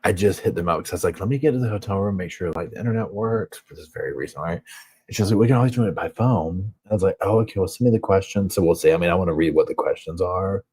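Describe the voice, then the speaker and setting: A man's voice: sits low, fast, darkish tone, husky. A man with a Southern US accent hosting a casual true crime live chat from a hotel room, rambling and reading viewer comments aloud. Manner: rambling, chatty, heavy on filler words. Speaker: true crime channel host.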